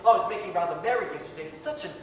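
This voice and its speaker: A woman's voice: medium pitch, quick pace, lightly acted. A woman playing a meek, apologetic Victorian male clerk in a 1930s radio drama reading. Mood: apologetic, nervous. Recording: echoey hall, distant mic, hiss.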